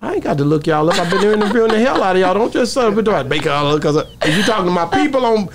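A man's voice: sits high, sing-song, quick pace, a crowd in the background. A middle-aged man hosting a podcast in casual, slangy, hyped-up talk, close on a studio mic. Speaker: podcast host. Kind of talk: casual hype-filled interview chat. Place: small indoor podcast studio. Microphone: close podcast mic on stand. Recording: faint background noise.

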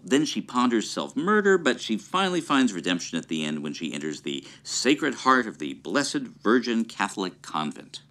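A man's voice: lowish voice, fairly bright tone. A man as a podcast guest, explaining film history in an amused, storytelling way.

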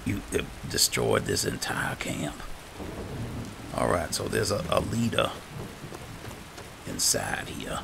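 A man's voice: sits low, sing-song, takes it slowly, creaky, long pauses. A man giving in-character commentary on a roleplay game, often muttering his asides. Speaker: gameplay host playing a roleplay character. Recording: rain and loud game noise behind. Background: game sound with rain.

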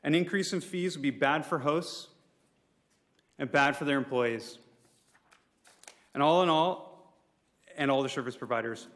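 A man's voice: fairly high pitch, a little slow, long pauses, a bit bright. Man giving a formal prepared council address. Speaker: public speaker for a property owners' association at a council meeting. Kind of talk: prepared speech to a city council. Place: public council meeting room.

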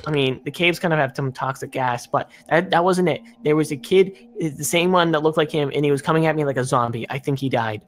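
A man's voice: on the high side, fairly quick, hardly any pauses, slight background noise, natural voice. A man playing an earnest, practical young son who survived a plane crash, in a casual improvised game roleplay. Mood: concerned, explaining.

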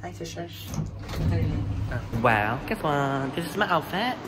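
A young man filming a casual travel vlog on a phone held at arm's length, playful and gushing, sometimes squealing in falsetto. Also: sing-song, slow pace. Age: young adult. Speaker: vlogger. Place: resort pool deck and restaurant, outdoors. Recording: noisy outdoor phone audio. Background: outdoor noise.

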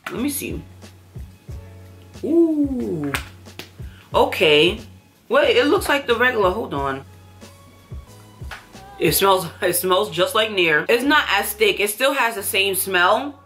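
A young woman with a congested, stuffy nose talks to the camera in a bright, forward voice. She gushes emphatically about her finds in a casual, chatty way. She is recorded at desk distance in a small room at home. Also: medium pitch, lively intonation, slow pace, long pauses, soft background music. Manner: chatty, emphatic, enthusiastic product talk. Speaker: beauty vlogger. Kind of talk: casual haul chat to camera.

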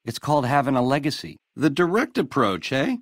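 Cocky american casino gangster from a video game, slick retro swinger talk, pitch jumps all over, dry close sound. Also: male voice, sing-song, a little fast, no room sound. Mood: wry, scheming. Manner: swaggering retro lounge-lizard slang, calls people baby. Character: slick, cocky male casino gangster boss, smooth-talking schemer. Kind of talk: video game character dialogue.

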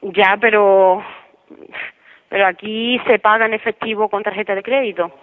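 A woman's voice: mid-range voice, fairly even intonation, normal speed. A woman working as a hotel receptionist, speaking firmly and matter-of-factly on a customer call. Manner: matter-of-fact, firm. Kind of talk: customer service phone call. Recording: muffled telephone line.

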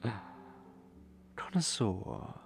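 A man playing a menacing, possessive, courtly vampire lord in an ASMR roleplay, speaking in a breathy, deliberate whisper with lingering pauses. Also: music in the background, natural voice. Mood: smug, possessive. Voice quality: breathy whisper with gravelly creak.

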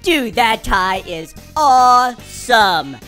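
A woman's voice: on the high side, lively intonation, very slow, sharp tone, soft background music, full character voice. A woman playing a hammy, bumbling male exorcist priest with corny showbiz patter in a comic audio drama. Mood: jokey.

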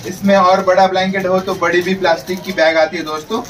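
A man in his thirties runs a casual how-to lesson in his small laundry shop. He chats to his viewers like friends, his voice a touch high and picked up from some distance. Street and traffic noise hums clearly behind him. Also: flat delivery, fast. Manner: chatty, keeps addressing viewers as friends. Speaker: laundry shop owner teaching on his channel. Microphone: camera mic at a distance.

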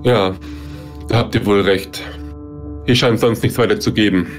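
A man's voice: lowish voice, steady tone, moderate pace, soft background music. A man playing a tabletop role-playing game, talking casually as he describes his character's actions.

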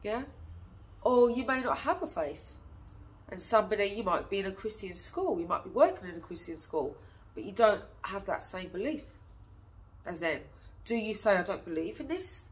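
A woman's voice: mid-range voice, very animated, normal speed, low-quality recording. A woman in her thirties with a stuffy nose from a cold, chatting directly to camera as an early years teacher, asking her viewers lots of questions. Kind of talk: casual teaching talk to camera. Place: small indoor room, seated on a chair. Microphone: phone camera at a short distance.

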